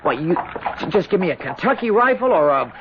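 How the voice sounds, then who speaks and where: An actor playing a naive young American country lad in a radio drama, earnest and folksy, often stammering. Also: male voice, sits high, very animated, fairly quick. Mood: pleading, eager. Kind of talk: old-time radio drama acting. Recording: noisy, muffled vintage radio recording.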